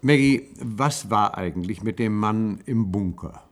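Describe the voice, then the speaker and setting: A man's voice: lowish voice, unhurried, natural voice. An actor playing an uneasy, probing new patient in a German science-fiction radio play, hesitant and repeating his words.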